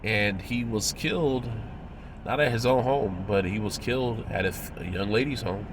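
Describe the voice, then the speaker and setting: A man in his thirties, his voice low and thick with congestion, talks at a deliberate pace with frequent pauses. He moralizes like a preacher giving a lesson. A faint background noise sits under the recording. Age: 30s. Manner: measured, moralizing, pausing often. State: congested and nasal from a cold, coughing. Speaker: religious commentator. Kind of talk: preachy commentary video.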